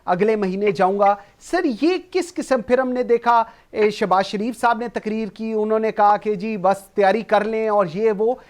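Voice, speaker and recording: High-voiced Pakistani man hosting political talk. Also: darkish tone. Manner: switches between Urdu and English phrases. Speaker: talk show host. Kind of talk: political talk show moderation and commentary.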